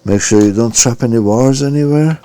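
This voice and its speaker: A man's voice: mid-range voice, moderate pace, dark tone. A man narrating an informal hands-on repair tutorial, giving careful step-by-step instructions and sometimes muttering to himself. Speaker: repair demonstrator.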